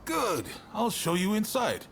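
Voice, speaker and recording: Confident, swooping military commander. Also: male voice, high-pitched, wildly swooping pitch, a little slow, vocal fry, soft background music, full character voice. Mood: pleasantly impressed. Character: confident, hearty male shogunate commander. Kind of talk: video game cutscene acting.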